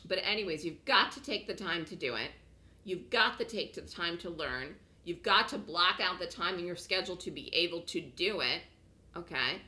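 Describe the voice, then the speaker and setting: A woman with a lowish but bright, forward voice runs a webinar from her home office. She sounds slightly hoarse, as if just getting over a cold. Her talk is chatty and repetitive, and she pitches her course with frequent "okay?" checks to her listeners. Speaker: trading course instructor.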